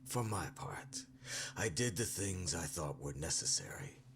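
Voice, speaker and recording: Deep-voiced imperious male power broker. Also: expressive, unhurried, noisy background. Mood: grave, defiant. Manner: condescending, weighty, self-righteous. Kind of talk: English video game dub, dramatic cutscene acting.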